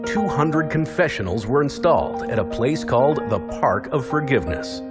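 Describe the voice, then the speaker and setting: Male documentary narrator, measured and informative, lively intonation, music bed. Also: medium pitch. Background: loud music bed.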